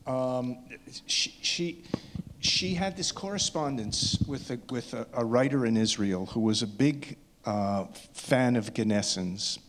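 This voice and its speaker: A man speaking spontaneously in a panel discussion, halting and insistent, often rephrasing what he says. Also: medium pitch, fairly bright tone.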